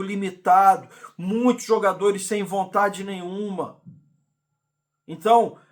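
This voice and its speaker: A man from Rio de Janeiro with a Carioca accent, hosting a football fan channel and ranting in an exasperated, repetitive monologue. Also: sits high. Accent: Carioca Brazilian Portuguese. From Rio de Janeiro, Brazil. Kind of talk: indignant fan commentary monologue.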